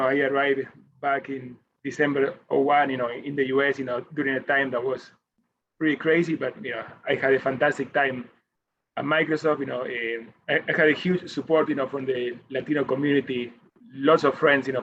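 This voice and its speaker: A man in his forties from Argentina, speaking with an Argentine Spanish accent, casually introducing himself on a panel. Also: medium pitch. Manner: relaxed, with frequent "you know" fillers. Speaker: engineering manager, panelist. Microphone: video call. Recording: dull lo-fi video call with faint noise.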